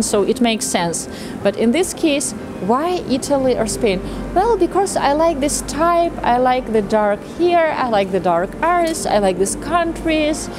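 A woman in her thirties with a fairly high voice speaks to camera as a presenter. Her delivery is explanatory and animated, slipping into acted-out remarks. She is outdoors on a city street, with clear street noise behind her. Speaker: matchmaker presenting a video channel. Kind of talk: talking to camera, explanatory with anecdotes.

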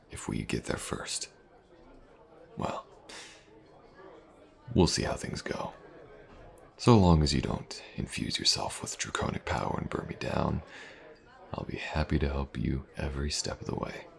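A man playing a tough, teasing fantasy mercenary in an audio roleplay, speaking in an unhurried, gravelly murmur with pauses. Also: lively intonation, exaggerated voice. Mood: calm, assured. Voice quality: gravelly, breathy low murmur. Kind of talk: immersive fantasy audio roleplay, intimate improvised monologue.

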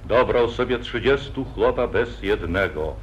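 A man's voice: fairly low pitch, animated, measured pace, slight background noise. A man narrating an old epic in solemn verse, in 1940s radio acting style. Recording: dull lo-fi 1948 radio recording.

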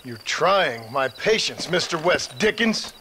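A forceful, slightly high male voice for an impatient Old West gunslinger. He is dry, curt and sardonic, always ready with a threat, with faint noise behind him. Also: sing-song, measured pace, heavily acted. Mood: grudging, irritated. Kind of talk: video game acting.

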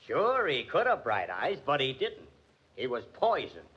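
A man's voice: mid-range voice, huge pitch swings, a little slow, breathy edge, full character voice. An actor playing a sarcastic, hard-boiled American police detective who needles in tough-guy slang, in a 1940s radio drama. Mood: sardonic. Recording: dull, band-limited old radio recording.

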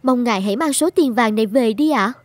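A woman voicing a gentle, timid young maiden in an animated folk tale. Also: a little high, fast talker, no room sound, studio quality, close to an everyday voice. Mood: polite, firm.

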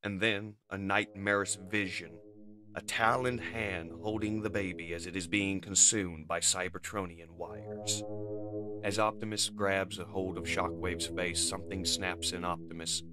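A man's voice: sits low, fairly even intonation, measured pace. A man narrating a comic book as a dramatic scripted reading. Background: music.

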